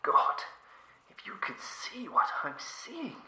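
A terrified, doomed man in a horror audiobook. His high, piercing voice is shaky and hoarse with fear, swinging wildly as he pleads urgently in an echoing space. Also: huge pitch swings, slow, bright, forceful tone, whispered, full character voice. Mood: horrified, despairing. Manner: urgent, pleading, breaking into shrieks.

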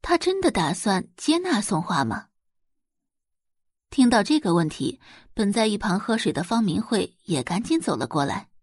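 Expressive, animated female narrator. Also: mid-range voice, moderate pace, breathy edge, no room sound, studio quality. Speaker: audiobook narrator. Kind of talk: expressive scripted narration of a web novel.

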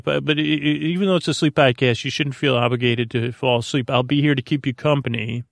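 A man hosting a sleep podcast in a meandering, halting, droning monologue full of tangents and repeats. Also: steady tone, creaky, no room sound, studio quality. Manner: droning, low-key. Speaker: podcast host.

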